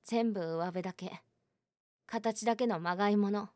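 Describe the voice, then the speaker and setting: A woman voices a stubborn, lonely high school girl in a voice drama. She speaks quietly and confessionally. The recording is dry and close. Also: normal speed, dry recording, natural voice. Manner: quiet, confessional, rising to anguished outbursts. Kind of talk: voice drama acting.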